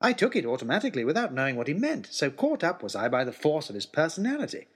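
A man narrating an old-fashioned first-person adventure story in a theatrical way, with deliberate dramatic pauses. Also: fairly high pitch, wildly swooping pitch, slightly rough. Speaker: narrator of a serial audio story.

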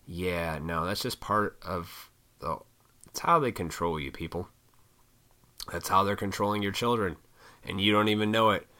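A man in his thirties rants off the cuff, swearing and wandering between points. His voice sits low but comes out hard and pushed, with a froggy throat he keeps clearing. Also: moderate pace, long pauses, bright, forceful tone. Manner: profane, opinionated, rambling. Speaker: vlogger. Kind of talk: rambling casual rant to camera.

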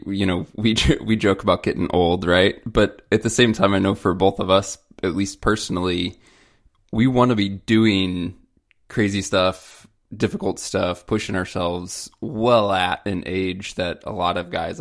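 A man with a fairly low voice hosts a podcast in casual conversation. His speech has a clear gravelly creak running through it. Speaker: podcast host.

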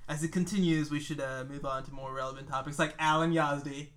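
A man's voice: on the high side, fairly even intonation, fast, crowd noise. A man in his twenties hosting a casual podcast, laid-back and joking. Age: 20s. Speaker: podcast host. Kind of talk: casual chat.

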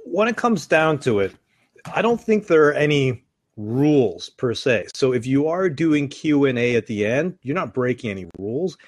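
A middle-aged man from Canada, an author on public speaking, answering interview questions in a measured, explanatory way. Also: very animated, dry recording. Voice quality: deep voice. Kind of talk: interview guest.

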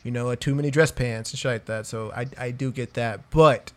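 A man's voice: medium pitch, steady tone, normal speed. Laid-back swearing man saying dude. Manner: stammers and restarts phrases. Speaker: podcast co-host. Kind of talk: casual chat.

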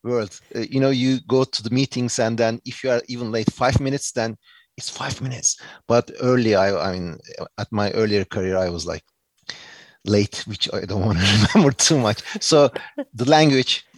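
A man's voice: mid-range voice, normal speed, no room sound. A man from Turkey with a Turkish accent, giving earnest, reflective answers as an interview guest, with many fillers and restarts.

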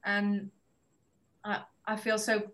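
A woman, a TV writer on a panel, telling stories in a lively, laughing way. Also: medium pitch, takes it slowly. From Wales. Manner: animated, laughing storyteller. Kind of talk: casual panel talk.

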